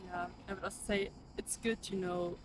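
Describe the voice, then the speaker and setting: Young german woman, street interview, traffic clearly behind her, lots of "like". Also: fairly low pitch, steady tone, moderate pace. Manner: chatty, leans on "like". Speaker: passerby interviewee. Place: outdoors on a street. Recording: outdoor street noise behind.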